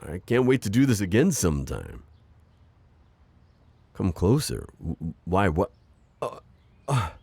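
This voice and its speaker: A man voicing a gentle, self-deprecating divorced construction-worker dad in an ASMR roleplay, hesitant and stammering with soft jokes. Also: low-pitched, very animated, takes it slowly, creaky, pauses often, husky, no room sound, exaggerated voice. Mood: shy, flirty. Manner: hesitant, full of ums and stammers, joking softly. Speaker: voice actor.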